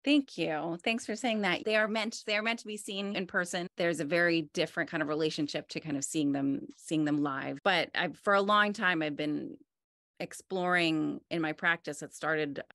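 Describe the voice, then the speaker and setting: A woman in her forties with a low, gravelly voice, talking about her work over a video call. She speaks in a relaxed, reflective way, full of restarts and fillers. The sound is dry and close, with no room echo. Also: normal speed. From United States. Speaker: artist. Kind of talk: conversational interview. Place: home room with wood-panelled wall.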